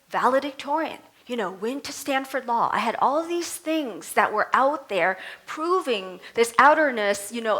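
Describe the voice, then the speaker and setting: A middle-aged woman from Vietnam, a native Vietnamese speaker, giving a conversational sermon with animated storytelling and self-deprecating humor. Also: on the high side, rough recording. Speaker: reverend giving a talk. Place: temple service.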